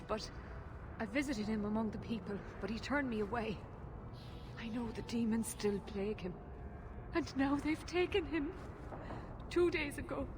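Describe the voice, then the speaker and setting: A woman's voice: fairly high pitch, background noise, close to an everyday voice. A woman playing an anxious, pleading mother in a dramatic video game scene. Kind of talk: video game cutscene acting.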